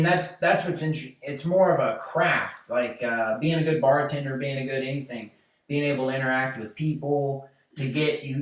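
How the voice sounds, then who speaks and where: A man's voice: mid-range voice, fairly bright tone. A man, hosting a podcast in loose, rambling casual chat full of fillers and restarts. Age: late 20s. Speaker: podcast host. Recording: echoey room, mic far off.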